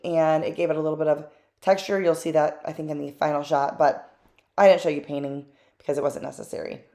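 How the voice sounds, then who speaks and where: A woman's voice: on the low side, a little mellow. A woman hosting her own craft channel, narrating a voice-over in a chatty, candid way with plenty of fillers and asides.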